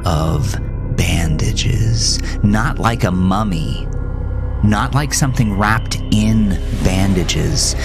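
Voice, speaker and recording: A man with a very low, creaky voice narrates a horror story over music. His delivery is hushed and suspenseful, lingering slowly on phrases and slipping toward a whisper. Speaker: horror fiction podcast narrator. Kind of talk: scripted dramatic horror narration. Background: music under the voice.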